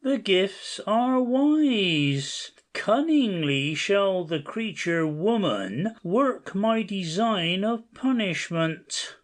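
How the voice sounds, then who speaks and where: A man playing a stately, scheming, vengeful king of the gods in an audiobook myth play, solemn and measured. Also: high voice, big pitch swings, full character voice. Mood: grave, commanding. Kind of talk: amateur audiobook play reading, declamatory.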